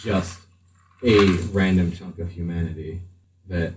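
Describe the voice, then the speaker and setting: Male game master, lower-pitched, halting improvised narration, recorded off-mic in an echoey room. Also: steady tone, distant mic, slight background noise.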